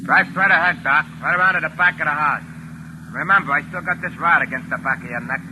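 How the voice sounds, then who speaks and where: An actor playing a tough, none-too-bright American gangster's henchman in a 1940s radio drama. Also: on the high side, fast, lo-fi sound, close to an everyday voice. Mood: barking orders. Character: tough male gangster henchman, gruff, bossy, dim underling. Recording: noisy old 1940s radio recording.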